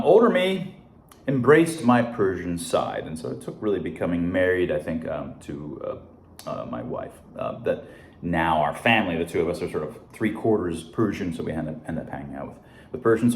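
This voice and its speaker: A man in his fifties hosting a science show, talking casually alone, rambling with many fillers and restarts. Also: low-pitched. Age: 50s. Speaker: science podcast host. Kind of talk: casual solo monologue. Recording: echoey room with faint noise.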